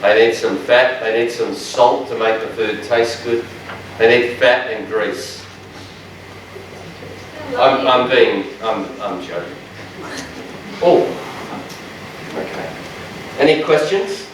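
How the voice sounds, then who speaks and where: A man, a dietitian giving a lecture to health workers in a large room, with a loud, strong voice and a passionate, joking manner. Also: medium pitch, slow pace, pauses often, a little hiss or hum. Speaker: dietitian presenter. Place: large echoey training room. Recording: echoey room, speaker far from mic.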